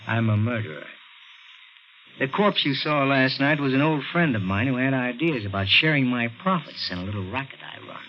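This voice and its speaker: A man's voice: medium pitch, lively intonation, brisk, lightly acted. An actor playing a cold murderer posing as a helpful policeman in a 1950s radio thriller, clipped and matter-of-fact. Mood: cold, menacing. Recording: muffled, noisy 1950s radio recording.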